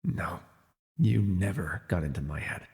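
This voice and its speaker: A man playing a jaded, wry imprisoned telepath supervillain in an ASMR audio roleplay, speaking in a sardonic, weary, gravelly way with sighs. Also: low-pitched, expressive, a little slow, a little mellow, big-room sound. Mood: defensive, forceful.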